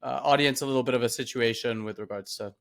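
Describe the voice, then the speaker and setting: A man hosting an interview podcast, introducing his guest and asking relaxed questions with filler words. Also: medium pitch, fast talker, gravelly. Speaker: podcast host.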